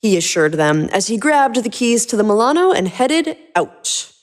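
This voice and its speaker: A woman's voice: on the high side, sing-song, normal speed, a little hiss or hum. A woman narrator reading a scripted story.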